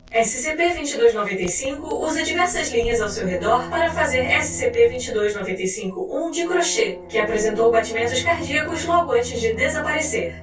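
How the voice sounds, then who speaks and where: High-voiced Brazilian woman narrating, roomy echo. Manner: formal, clinical delivery. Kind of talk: scripted narration of a fictional scientific file. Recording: roomy echo, distant mic, faint music. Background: music.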